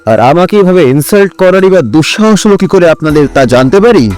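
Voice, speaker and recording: Haughty villain, indignant, sarcastic, highish lively voice, audio drama, music behind. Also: male voice, a little fast, clean tone. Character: indignant, defiant male villain caught by police. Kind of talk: Bengali audio drama acting.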